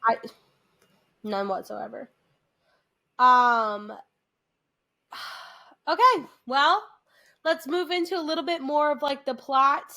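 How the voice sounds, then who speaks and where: An American woman co-hosting a podcast, chatting casually, animated and quick to restart her sentences. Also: high-pitched. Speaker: podcast co-host. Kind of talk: casual chat.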